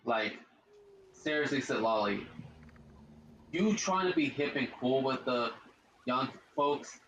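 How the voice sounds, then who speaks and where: A man with a deep voice giving casual let's-play commentary as a gaming streamer, rambling and repeating himself with slang. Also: lively intonation, slow pace, a bit bright, echoey room, a little hiss or hum, poor audio.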